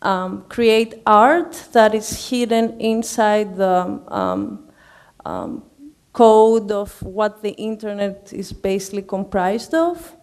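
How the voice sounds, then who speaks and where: A woman's voice: medium pitch, expressive, takes it slowly, a little mellow, smooth. A young woman from Greece with a Greek accent, giving an academic talk with many fillers and hesitations. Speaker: guest speaker.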